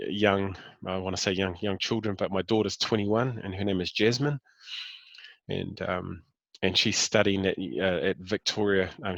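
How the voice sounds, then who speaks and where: A man's voice: deep voice, a bit bright, no echo, poor audio. A man in his fifties with a New Zealand accent, talking about himself in a casual interview, hesitant with many fillers and restarts. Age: 50s. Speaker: interview guest.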